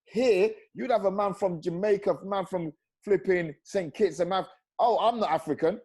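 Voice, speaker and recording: A man, a guest on a video-call panel, talking passionately and rising to loud emphatic peaks. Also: high voice, normal speed. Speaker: panel guest, former professional footballer.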